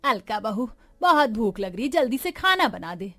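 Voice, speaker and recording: High, swooping elderly mother-in-law played by a woman. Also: very animated, moderate pace, sharp tone, no echo, soft background music, heavily acted. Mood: demanding. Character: elderly mother-in-law, fawning, partial, grumbling. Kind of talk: cartoon family drama dialogue.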